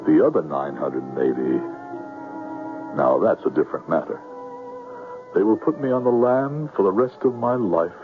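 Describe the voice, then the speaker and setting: An actor playing a smooth-talking swindler posing as a reverend, with pompous, florid phrasing in a 1970s radio drama. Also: male voice, low-pitched, lively intonation, moderate pace, pauses often, soft background music. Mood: confiding, uneasy. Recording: noisy, narrow-band 1970s radio recording.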